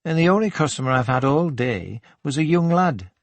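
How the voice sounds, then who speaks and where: A man's voice: fairly high pitch, expressive, normal speed, no room sound, pitched above the performer's own voice. Audiobook role: male reader as a Geordie shop girl in her twenties, friendly and teasing, lilting with a touch of husk. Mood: apologetic, chatty. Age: 20s. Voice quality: slightly husky. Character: young shop woman, teasing, friendly, chatty.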